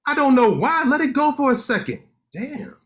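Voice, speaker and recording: An actor playing a cocky, sharp-tongued male lawyer in a staged play reading, teasing his friend with quick banter. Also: very high-pitched, sing-song, heavily acted.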